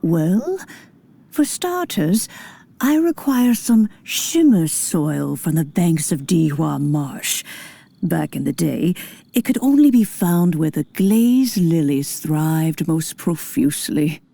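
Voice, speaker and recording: An ancient, kindly immortal grandmother from a fantasy game. Her voice is low and mellow, with an aged creak. She speaks in formal, old-fashioned phrases, gently in command. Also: very animated, slow, full character voice. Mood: gentle, instructive. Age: older. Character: elderly kindly female adeptus, nostalgic and gently commanding. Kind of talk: scripted video game acting.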